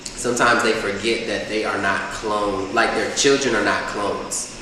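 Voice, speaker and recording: A man's voice: lowish voice, steady tone, unhurried. A young man from Atlanta, a rapper, chatting loosely in an interview, rambling with lots of filler phrases. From Atlanta, USA. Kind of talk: casual interview chat. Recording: echoey room, far mic, noisy.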